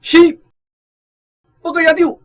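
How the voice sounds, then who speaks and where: A man's voice: extremely high pitch, level tone, slow. A man acting in a Taiwanese radio drama, playing a brash, wily army food buyer with a Taiwanese accent and a cocky, lecturing manner. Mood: eager, obedient.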